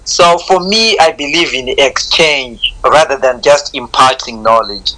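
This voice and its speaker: A man's voice: medium pitch, unhurried, sharp tone, a little hiss or hum, poor audio. A Zimbabwean man answering interview questions in a reflective, earnest way, often repeating words as he starts a thought. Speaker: guest, content creator.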